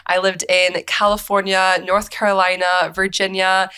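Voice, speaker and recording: A woman's voice: mid-range voice, level tone, normal speed, pushed and bright, clean tone. A young American woman speaking as a podcast guest, telling her personal story in conversation. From United States, grew up moving between countries. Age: young adult.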